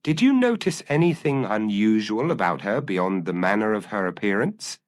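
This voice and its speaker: A man playing a brilliant, impassive detective in a gothic audio mystery, calm, probing and precise. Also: on the low side, moderate pace, dry recording, clean studio sound, pitched above the performer's own voice.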